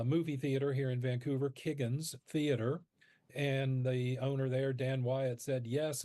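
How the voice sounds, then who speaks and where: A man being interviewed as a radio producer, explaining his work in measured phrases with halting pauses mid-sentence. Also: mid-range voice, warm tone, dry recording.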